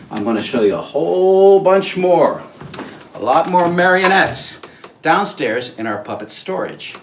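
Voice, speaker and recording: A man hosting an informal puppeteer's show-and-tell tour, talking off the cuff with theatrical showman phrasing and emphatic repeats. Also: medium pitch. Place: indoors, backstage and storage rooms of a puppet theater. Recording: echoey, distant mic with background noise.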